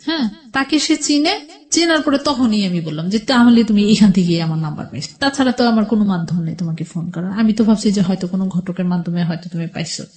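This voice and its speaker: A woman's voice: mid-range voice, expressive, fast talker, a little mellow. A middle-aged woman with a Bangladeshi accent telling her personal story as a radio interview guest, conversationally, often quoting what people said. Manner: conversational, quotes past exchanges as she narrates.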